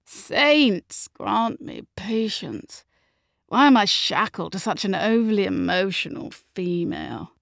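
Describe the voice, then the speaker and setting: A woman's voice: medium pitch, lively intonation, takes it slowly, gravelly, a bit bright, no echo, studio quality, heavily acted. A woman acting a haughty, drawling aristocratic husband. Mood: impatient, condescending. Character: coldly bored, cutting aristocratic husband and father. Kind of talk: audiobook character acting.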